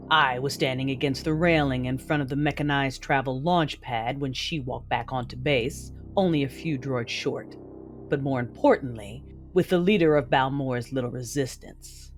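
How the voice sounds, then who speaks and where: A woman's voice: a bass voice, moderate pace. A menacing Sith lord woman who tells her own story in a sci-fi audio drama. She is cold, brooding and vengeful. Music plays faintly under her voice. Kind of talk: first-person audio drama narration and dialogue.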